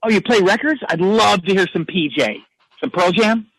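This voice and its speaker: An actor playing a brash, combative caller who rambles about rock music and picks fights. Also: male voice, high voice, normal speed, a bit bright, dry recording, poor audio. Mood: chummy. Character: brash hotheaded man obsessed with rock. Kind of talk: comedic character phone call.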